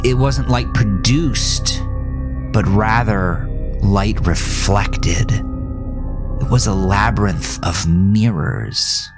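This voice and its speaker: A man narrating a first-person horror story in a brooding, theatrical, dramatic way. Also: low-pitched. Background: faint music.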